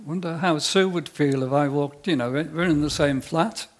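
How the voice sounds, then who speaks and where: A man's voice: on the high side, moderate pace. A man preaching in short phrases for an interpreter, conversational and asking the listeners questions. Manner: conversational, asks the audience questions. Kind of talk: sermon with live interpreter.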